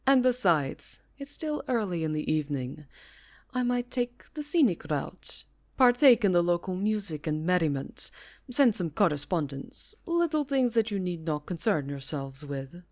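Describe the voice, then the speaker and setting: A woman's voice: on the low side, very animated, measured pace, darkish tone. A woman voicing a haughty, menacing vampire countess with a silky, imperious delivery in a fantasy roleplay. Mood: smug, gracious. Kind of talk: fantasy ASMR roleplay acting.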